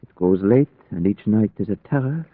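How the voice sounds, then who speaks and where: An actor playing a devout, learned vampire hunter in an old radio drama, speaking formally and deliberately with foreign-sounding word order. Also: male voice, fairly low pitch, expressive, dry recording. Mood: grave. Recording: muffled, band-limited vintage radio recording.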